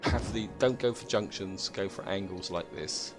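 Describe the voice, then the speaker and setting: A British man with a croaky voice from a chest cold, chatting casually as he thinks aloud through a city-building game. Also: low voice, moderate pace, over music. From Britain. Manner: thinks aloud, chatty, addresses viewers as guys. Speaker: gameplay commentator.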